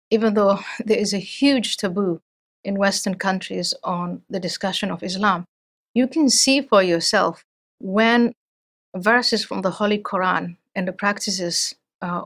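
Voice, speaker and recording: A middle-aged woman from Somalia, speaking as an interview guest in a thoughtful, measured way with frequent restarts. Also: mid-range voice. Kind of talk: interview conversation.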